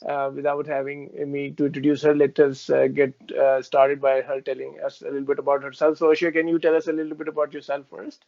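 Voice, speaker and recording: Man hosting, flat and polite. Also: on the high side, gravelly. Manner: polite, halting introduction. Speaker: host. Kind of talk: formal interview introduction. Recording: muffled lo-fi audio.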